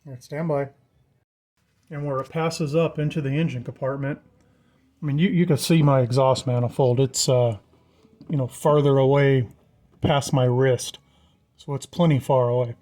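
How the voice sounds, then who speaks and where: A man's voice: medium pitch, steady tone, normal speed, pauses often, warm tone. A man giving a casual, plainspoken hands-on walkthrough of his own project, informally pointing things out as he goes. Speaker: vlogger showing his truck wiring project.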